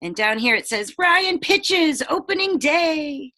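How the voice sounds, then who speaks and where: A woman teacher reading a picture book aloud to children, expressive, with chatty explanations and questions for the listeners. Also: high voice, slow.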